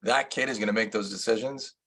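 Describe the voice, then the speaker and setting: A man's voice: lowish voice, steady tone, a little fast, fairly bright tone. A man presenting a legal webinar as an attorney, explaining the subject plainly and putting guiding questions to a colleague.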